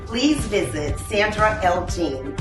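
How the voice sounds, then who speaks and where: A woman reading a scripted business ad in a measured, reassuring way. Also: on the low side, very animated. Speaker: commercial voice-over. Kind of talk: scripted ad read. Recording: distant echoey read over music.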